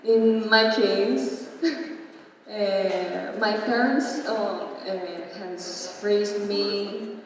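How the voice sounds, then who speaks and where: A young woman speaking as a panelist in her second language, halting, with many pauses and uhs. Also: medium pitch, steady tone, a little hiss or hum. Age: young adult. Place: large conference hall. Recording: echoey hall from far off.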